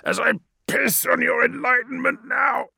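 Dying old warlord priest, high and strained, gravelly, slow, screaming bitter defiance. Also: male voice, sing-song, bright, forceful tone, no room sound, exaggerated voice. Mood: raging. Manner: screaming, sneering tirade. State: wheezing from a chest wound.

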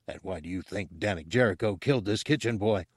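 A man's voice: sits low, a little fast, breathy edge, no room sound, clean studio sound. A man voicing an exasperated, menacing boss of guards in a storybook, curt, impatient and demanding. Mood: skeptical.

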